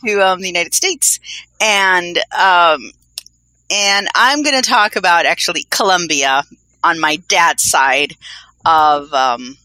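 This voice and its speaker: A woman's voice: lowish voice, sharp tone, dry recording, poor audio. A woman co-hosting a podcast, chatting in a rambling way with many fillers. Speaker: podcast co-host. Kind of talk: casual podcast chat.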